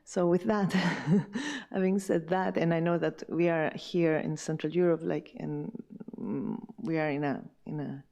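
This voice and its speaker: A woman speaking as a panelist at a round table, thoughtful and halting, with many fillers and restarts. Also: low-pitched, steady tone, vocal fry, warm tone. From Chile.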